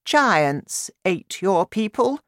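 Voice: medium pitch, big pitch swings, extremely slow, a bit bright, dry recording, clean studio sound, voice pushed up, exaggerated voice. A reader voicing a commanding, polite grown woman, a Queen in a storybook. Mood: commanding, concerned.

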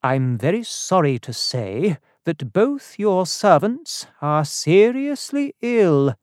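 Audiobook role: concerned male doctor, acted out by the narrator, formal, deliberate pace, dry close recording. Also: medium pitch, big pitch swings, darkish tone, dry recording, voice pushed up. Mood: grave.

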